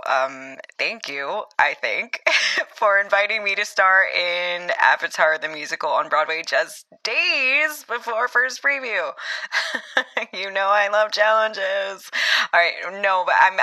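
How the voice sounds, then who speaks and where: A woman's voice: on the low side, pushed and bright. A woman playing a busy actress, diplomatic and breezy, leaving a polite phone message in an audio comedy.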